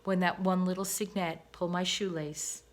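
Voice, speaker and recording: A woman voicing an excited young boy in a read-aloud storybook, high and loud. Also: moderate pace, lightly acted. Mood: earnest. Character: boy gleefully counting baby swans.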